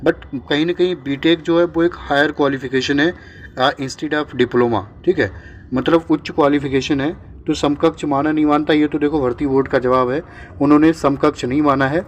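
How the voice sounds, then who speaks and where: A man's voice: a little high, steady tone, normal speed, muffled recording, a little hiss or hum. A man presenting exam updates informally to candidates, repeating himself with check-in tags, mixing in English words and sounding reassuring. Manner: mixes English words into speech.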